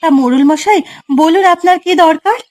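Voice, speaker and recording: Casting: female actor for affectionate cartoon housewife, quite high register, eager and sweetly coaxing. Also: brisk, darkish tone, no echo. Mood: inquiring.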